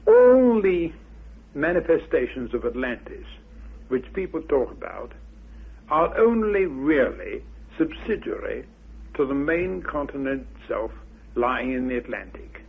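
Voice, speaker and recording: A man speaking as an interviewed researcher, giving opinionated, deliberate views in formal wording, unscripted. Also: fairly high pitch, very animated, creaky, slightly rough. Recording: muffled old radio recording.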